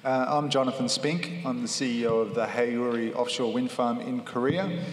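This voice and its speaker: A man from Australia with an Australian accent, speaking on a conference panel in a relaxed, filler-heavy way. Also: medium pitch, normal speed, a little hiss or hum. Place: large conference hall stage. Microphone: handheld mic. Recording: reverberant hall.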